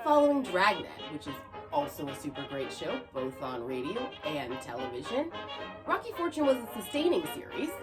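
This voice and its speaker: A woman hosting a podcast, reading scripted narration with chatty asides. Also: a little high. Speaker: podcast host. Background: music.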